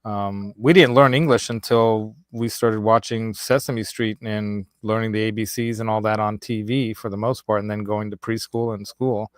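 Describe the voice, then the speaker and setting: Show host, man, faint Hungarian accent, rambling chatty delivery, quick to laugh, dry close sound. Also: on the low side, fairly even intonation, dry recording. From United States. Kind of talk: casual chat.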